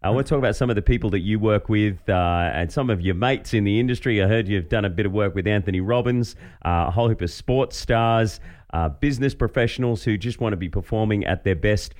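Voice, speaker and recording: A man's voice: on the low side, fast, a little mellow. A man with an Australian accent hosting a podcast in an informal, self-mocking, chatty way. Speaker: podcast host; professional voiceover artist. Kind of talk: casual interview chat.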